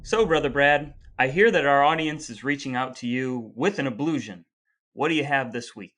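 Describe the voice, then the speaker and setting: A man's voice: mid-range voice, moderate pace, fairly bright tone. A man co-hosting a podcast, talking in a dry, mock-formal way with plenty of puns. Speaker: podcast co-host. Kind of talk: casual chat with scripted segments.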